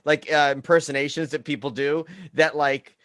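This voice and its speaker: A man's voice: on the high side, flat delivery, normal speed, fairly bright tone, no echo, clean studio sound. A man hosting a podcast in casual chat, friendly and agreeable, often repeating himself. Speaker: podcast host.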